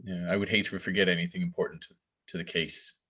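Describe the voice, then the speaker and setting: A man's voice: sits low, little pitch movement, quick pace, pushed and bright, husky, full character voice, low-quality recording. A man playing a polite, formal police officer in a table read of a drama script.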